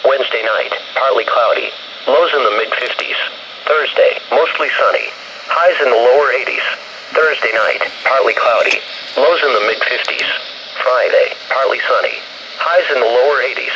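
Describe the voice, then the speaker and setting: A synthesized male voice reading a scripted weather bulletin in flat, measured short phrases over weather radio. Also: mid-range voice. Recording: very noisy weather radio broadcast.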